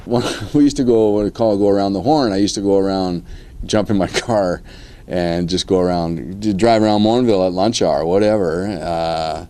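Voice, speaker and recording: A man's voice: deep voice, normal speed, dark tone, a little hiss or hum. A Canadian man telling a casual anecdote in a folksy way. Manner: chuckling, folksy storytelling. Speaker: colleague giving a tribute.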